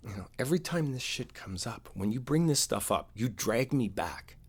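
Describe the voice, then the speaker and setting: A man playing an earnest, wounded boyfriend in an intimate roleplay, speaking in a halting, confiding way. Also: mid-range voice, animated, husky. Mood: pained, pleading.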